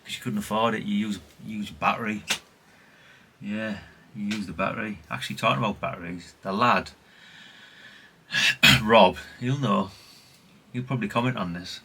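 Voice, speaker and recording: Bright, husky middle-aged British man. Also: on the low side, moderate pace. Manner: chatty, rambling, self-correcting, tag questions. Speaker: hobbyist video host. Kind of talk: casual rambling show-and-tell.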